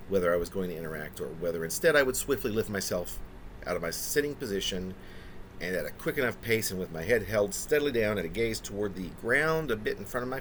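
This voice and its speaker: Low-voiced man in his fifties, lively intonation. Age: 50s. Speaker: poet reading own work. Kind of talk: casual spoken preamble and poetry reading. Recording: noticeable background room noise.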